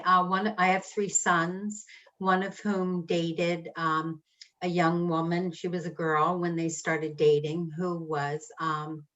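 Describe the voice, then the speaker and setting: Slow, low-voiced woman moderating. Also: sharp tone. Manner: slow, hesitant with many fillers. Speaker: discussion moderator. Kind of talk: group discussion on a video call. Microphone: video call. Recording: dull lo-fi call audio.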